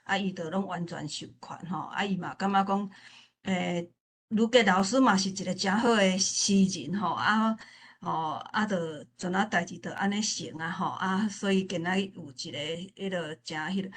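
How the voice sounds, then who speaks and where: A woman with a Taiwanese accent giving informal remarks at a literature forum, halting and often repeating her phrases. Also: mid-range voice, a bit bright, slightly rough.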